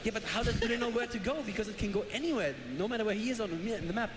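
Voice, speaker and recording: A man casting an esports match live, chatty and jokey, excitable. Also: high voice, very fast. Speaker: esports caster. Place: large event hall with live audience. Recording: echoey hall, noisy crowd.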